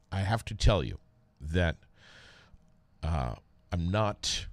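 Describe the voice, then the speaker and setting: American man, very low voice, unhurried halting delivery, casual podcast host, close mic. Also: dry recording. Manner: halting, conversational delivery with frequent pauses. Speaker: podcast host, former radio DJ. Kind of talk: casual solo podcast talk with read sponsor plug.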